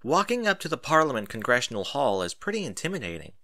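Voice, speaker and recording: A man narrating a first-person story in an animated audiobook style. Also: mid-range voice, fast talker, dry recording, studio quality.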